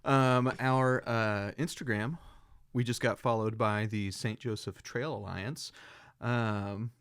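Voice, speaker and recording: Laid-back lower-voiced male podcast co-host. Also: steady tone, slow pace, a bit bright, clean tone, no echo, studio quality. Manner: laid-back, rambling, laughs often. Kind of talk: casual chat.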